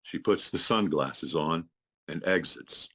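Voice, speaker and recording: A man's voice: a bass voice, takes it slowly, fairly bright tone. A man reading scripted stage directions as narrator at a live table read.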